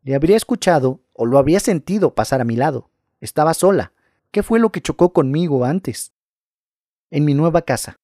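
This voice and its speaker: A man reading scary first-person stories aloud in a calm, measured narration. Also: a little high, no room sound, clean studio sound.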